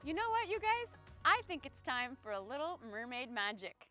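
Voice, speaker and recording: A woman's voice: high voice, sing-song, normal speed, sharp tone, soft background music. A woman presenting a children's storytime as a friendly mermaid, playfully talking straight to young viewers with exaggerated expression. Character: friendly singing mermaid who loves the land. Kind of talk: children's storytime read-aloud and singalong presenting.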